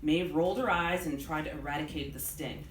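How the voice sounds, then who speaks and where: A woman with a lowish voice reads fiction expressively as an author at a live event. The room adds clear echo, and there is steady background noise behind her. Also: brisk. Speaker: author reading her own novel. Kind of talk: expressive live book reading. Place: indoors in a bookstore. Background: room ambience, clear background noise.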